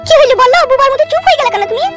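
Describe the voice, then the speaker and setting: Woman doing a pushy young village wife, high rapid voice. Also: soft background music. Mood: impatient. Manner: pestering, insistent questioning.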